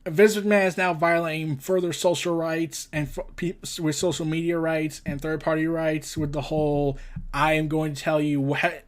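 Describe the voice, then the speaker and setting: A man with a high-pitched voice hosts a casual news show. He moves between reading out reports and giving his own opinions. His manner is blunt and exasperated, in the loose style of an online commentator. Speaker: host of a pop culture and wrestling news show.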